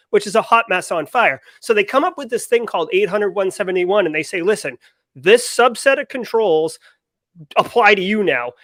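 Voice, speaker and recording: A man, a cybersecurity expert on a live panel, speaking in an animated, emphatic way over a video call. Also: high voice, no room sound. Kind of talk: energetic panel discussion.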